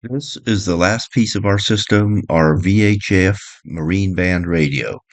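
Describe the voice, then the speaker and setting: A man's voice: low voice, measured pace, no room sound. A man giving a practical how-to narration in a plain, step-by-step way, like a boat owner explaining his gear. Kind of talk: practical how-to narration, partly read, partly off the cuff.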